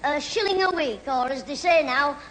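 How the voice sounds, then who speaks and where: A man's voice: extremely high pitch, fairly bright tone, in a large hall. A man playing a cheeky, scheming schoolboy in a 1970s British radio sitcom. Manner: cheeky, quick to fib and bluster. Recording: old radio recording, faint hiss, studio audience.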